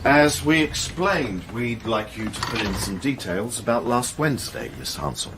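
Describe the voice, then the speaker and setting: A man acting a dour, courteous, measured murder squad detective in a radio crime drama. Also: mid-range voice, big pitch swings. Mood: courteous, firm. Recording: noisy ambient drama recording.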